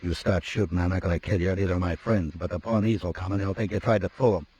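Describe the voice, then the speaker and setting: An actor playing a tough, calm frontier marshal in a 1950s radio drama, speaking in a firm, measured way. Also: male voice, low voice, slightly rough, muffled recording. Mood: menacing. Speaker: lead actor. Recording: old radio broadcast with faint hiss.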